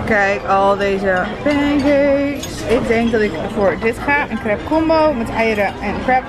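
Young girl vlogging on a trip, high voice, excitable n playful, loud music under it. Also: takes it slowly. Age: young adult. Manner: excitable, playful, blunt swearing. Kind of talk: casual travel vlog chat. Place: moving car and outdoor streets and viewpoints. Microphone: handheld camera at arm's length. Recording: music over handheld vlog audio. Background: music, car and street noise.

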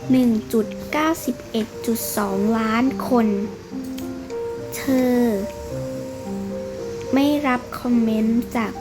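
A woman's voice: fairly high pitch, slow, long pauses, music in the background, low-quality recording. A very young female storyteller narrating an improvised role-play drama over a game. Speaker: storyteller voicing her own short game drama.

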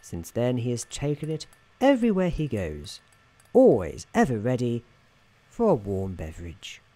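A man acts out a soothing, whimsical male narrator for a storybook-style ASMR roleplay. His voice is soft and warm, at a middling pitch, with lively rises and falls. He is measured and droll, with a gently patronising touch.

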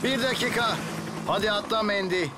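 A man voicing a calm, reassuring young fireman hero in a cartoon. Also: high-pitched, normal speed, very noisy, close to an everyday voice. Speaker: voice actor in a cartoon dub. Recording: cartoon music behind the voice.

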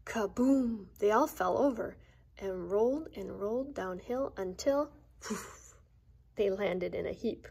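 A woman storyteller reading aloud to children in a playful, expressive manner. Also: mid-range voice, slow pace, creaky, breathy edge. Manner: playful, expressive, with gasps and interjections. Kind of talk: animated children's read-aloud.

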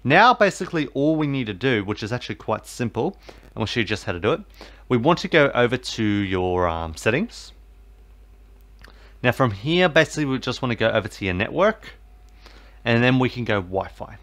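A man's voice: mid-range voice, big pitch swings, moderate pace, fairly bright tone. Rambling man explaining a TV box. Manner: rambling, filler-heavy, repeats "basically" and "obviously". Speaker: tech tutorial host. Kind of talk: casual how-to voice-over.

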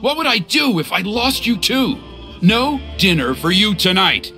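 A man's voice: high voice, very animated, a little slow, music in the background. A man voicing a stern, protective middle-aged father and tribe elder in video game dialogue. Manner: gruff, then flustered and apologetic.

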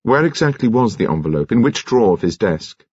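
A man playing a courteous English police detective in an audiobook, calm, probing and gently authoritative. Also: on the low side, breathy edge, voice pushed up. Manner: polite, measured, gently authoritative. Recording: muffled, echoey room.

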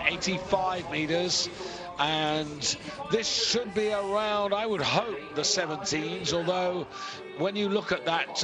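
A man giving live, measured commentary on a ski jumping competition, explaining scores and distances with pauses and fillers. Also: a little high. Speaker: sports commentator.

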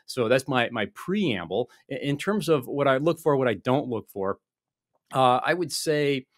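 A man's voice: mid-range voice, expressive, a little slow, no echo, clean studio sound. A man in his forties, a guest on a video call, explaining investing with many restarts and fillers. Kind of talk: conversational interview. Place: small office with wall panels. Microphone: desk microphone on video call.

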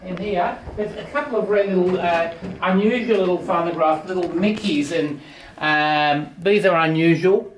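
A man's voice: high-pitched, moderate pace. Enthusiastic older Australian man, echoey house. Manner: enthusiastic, rambling, self-joking. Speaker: auctioneer. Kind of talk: casual walkthrough chat. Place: large house, indoors. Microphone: handheld phone camera. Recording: echoey rooms, faint noise.

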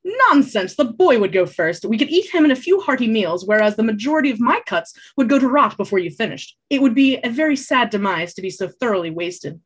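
A woman voicing a flamboyant young male bard who speaks in grand, melodramatic flourishes, with a slightly husky tone. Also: fairly high pitch, animated, fairly quick, few pauses, voice pushed up. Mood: grandiose.

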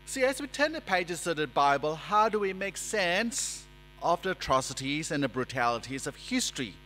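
A man in his forties preaching a sermon at a lectern in a church, speaking in a measured, emphatic way and gesturing as he talks. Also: on the high side, very animated, bright, forceful tone. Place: church sanctuary, indoors. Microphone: lectern microphone. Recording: reverberant church hall with mains hum.

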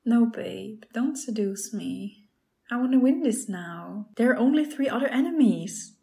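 A woman's voice: on the high side, lively intonation, a little slow, creaky, mellow. A woman playing a playful, game-obsessed young girlfriend in an ASMR roleplay, talking straight to the listener. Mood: playful, pleading. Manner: playful, pleading, talks to the listener as "babe".

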